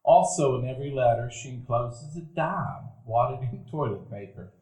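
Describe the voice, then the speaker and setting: A man playing a nostalgic grown man recalling boyhood, narrating a radio play in a measured, literary way. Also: medium pitch, expressive, a little mellow, lightly acted. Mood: tender, melancholy. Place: event hall before live dinner-theater audience. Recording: echoey live theater stage.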